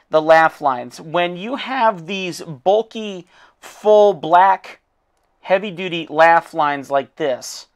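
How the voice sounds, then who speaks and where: A hoarse man who has lost his voice, an art teacher critiquing a student's drawing in a casual, encouraging way with frequent fillers. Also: a little high, a bit bright. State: losing his voice.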